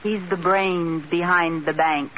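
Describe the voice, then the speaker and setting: An actress playing a boastful, fibbing housewife in an old radio comedy, bluffing breezily with comic hesitations. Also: female voice, fairly low pitch, fairly even intonation, slow pace. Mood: confiding, boastful. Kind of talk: 1940s radio comedy acting. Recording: noisy, dull old radio recording.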